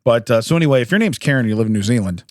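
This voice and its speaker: Animated man chatting on a podcast. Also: mid-range voice, rapid-fire, no echo, clean studio sound. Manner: jokey, frequent chuckling and throat-clearing. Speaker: podcast co-host. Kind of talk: casual comedic chat, telling odd news stories.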